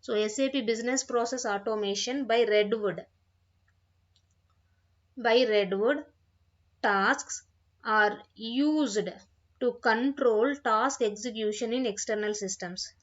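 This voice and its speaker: A woman giving an instructional software tutorial, reading out points and repeating phrases as she explains them, on a dull, lo-fi microphone. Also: fairly high pitch, takes it slowly, long pauses. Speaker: software trainer.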